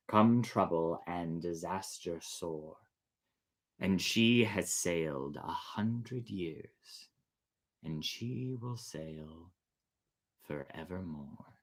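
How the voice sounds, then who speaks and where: A man reading a story aloud as a dramatic, deliberate and expressive storyteller. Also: low-pitched. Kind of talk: dramatic scripted storybook narration.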